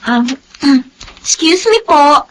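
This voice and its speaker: A woman's voice: sits high, lively intonation, extremely slow, fairly bright tone, slight background noise, exaggerated voice, poor audio. A woman playing a timid, confused college freshman in a radio drama, hesitant and polite, with a Filipino accent. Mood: curious, unsure.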